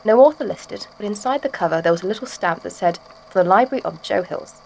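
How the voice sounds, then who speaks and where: A woman's voice: mid-range voice, animated, quick pace, creaky, a little mellow, a little hiss or hum. A woman playing a former schoolteacher turned obsessive artist, giving a chatty, matter-of-fact confession in an audio drama. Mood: chatty, wry. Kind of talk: audio drama statement monologue.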